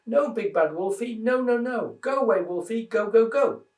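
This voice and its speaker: A man's voice: lively intonation, normal speed. A man voicing a small, frightened young piglet in a children's storybook, squealing and pleading in panic. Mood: terrified. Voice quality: squealing, high. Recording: roomy echo, distant microphone.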